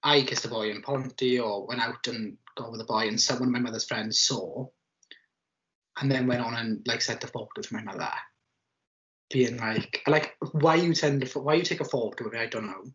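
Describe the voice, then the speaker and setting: A man's voice: medium pitch, moderate pace, a bit bright. A young man, chatting casually as he tells personal stories. The sound is slightly muffled and lo-fi. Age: 20s. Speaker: interviewee.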